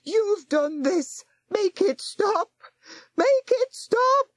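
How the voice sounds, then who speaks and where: A woman voicing a fussy, easily frightened middle-aged landlady with a shrill, fretful voice. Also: sing-song, normal speed, darkish tone, husky, no room sound, studio quality. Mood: panicked.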